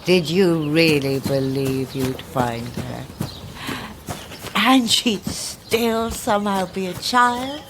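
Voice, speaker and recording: Sinister older lady, swooping and slow. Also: lowish voice, animated, noisy background. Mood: gloating. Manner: sing-song, gloating, patronising endearments. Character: sinister older woman captor, sweetly mocking, gleefully cruel. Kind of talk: immersive horror audio drama acting.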